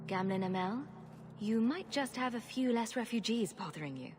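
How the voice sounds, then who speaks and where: A woman's voice: a little high, expressive, normal speed, noisy background, lightly acted. An actress playing a wry young woman refugee in a video game cutscene, dry and sarcastic.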